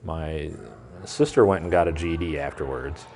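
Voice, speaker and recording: Very low-voiced man; reflective adult student and manager in a documentary interview, who lets his sentences fade out. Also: moderate pace, darkish tone, a little hiss or hum.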